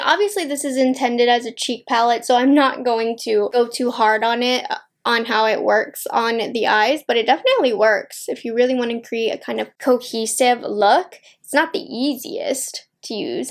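High-voiced young woman chatting casually. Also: moderate pace. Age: 20s. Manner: chatty, thinking aloud while applying makeup. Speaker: beauty vlogger reviewing makeup. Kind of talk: casual chat to camera, makeup review. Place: small indoor room at home.

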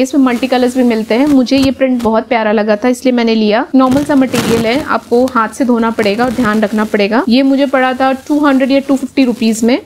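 A woman's voice: high voice, a little mellow. A woman, chattily presenting a casual shopping haul and explaining to viewers. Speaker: travel vlogger. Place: bedroom at home, indoors.

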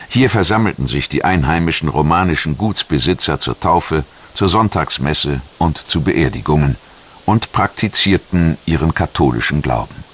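Very deep-voiced male documentary narrator. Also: slightly rough. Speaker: documentary narrator and voice-over translator.

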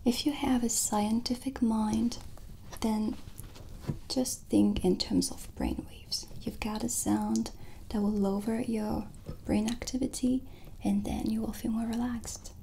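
A middle-aged woman hosting an ASMR roleplay, explaining softly and soothingly in a gentle whisper, close to the microphone. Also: a little high, steady tone, slow pace, gravelly, a little hiss or hum. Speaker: ASMR host presenting a sound healing roleplay. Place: small dim indoor set.

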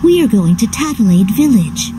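Game heroine: young warrior, earnest and resolute, highish animated voice, noisy ambience behind. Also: female voice, fairly quick. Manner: earnest, firm, polite. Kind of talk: video game voice acting.

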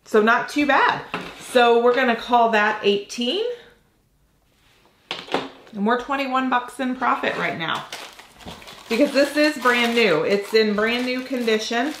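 Slow, chatty woman in an echoey room. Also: fairly high pitch, background noise. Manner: chatty, thinking aloud while handling items. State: slightly nasal from a cold. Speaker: reseller vlogger. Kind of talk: casual unboxing chat. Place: home office, indoors.

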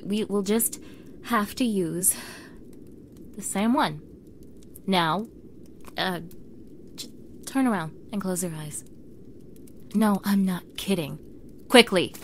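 A woman's voice: medium pitch, slight background noise. A young woman playing a bossy, snippy mean girl in an acted audio roleplay, exasperated and sarcastic, speaking straight to the listener. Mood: flustered, resigned.

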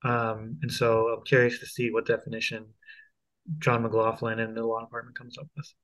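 A man in his thirties hosting a conversational local news discussion, explaining haltingly with repeated restarts. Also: medium pitch, monotone, a bit bright. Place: home room, indoors. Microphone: earphone headset on video call. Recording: dull, lo-fi video call audio.

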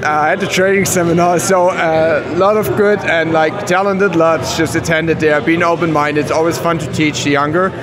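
German guy, english not his first language, talks confident and earnest, voice sits a bit high, crowd chatter behind. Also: fairly even intonation, normal speed, few pauses. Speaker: wrestler. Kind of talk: backstage interview. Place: backstage at a wrestling event, before a sponsor wall. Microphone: handheld mic held by the interviewer.

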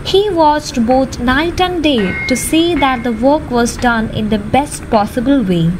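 A young woman narrates a radio play from a script. Her voice is high, and it sits over clearly audible background noise and ambient sound, as in an amateur production. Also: a little slow. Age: young adult.

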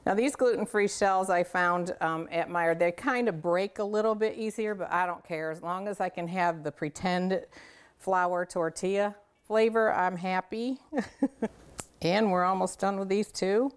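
Chatty low-pitched woman hosting a cooking show. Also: fairly even intonation, moderate pace, smooth. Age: middle-aged. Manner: chatty, folksy, enthusiastic, addresses viewers directly. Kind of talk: casual cooking demonstration to camera. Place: home kitchen, indoors.

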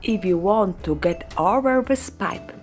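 Polish-native woman, 40s, unhurried voice-over over light music. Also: mid-range voice, expressive, darkish tone. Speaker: vlogger narrator. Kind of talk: voice-over narration.

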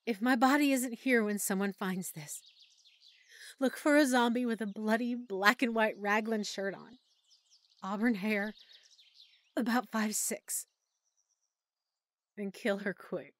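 A woman's voice: mid-range voice, measured pace, long pauses, lightly acted. A woman voicing a young woman who is turning into a zombie, reading out her final letter in a strained, fearful way. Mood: desperate. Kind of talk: audiobook character monologue.

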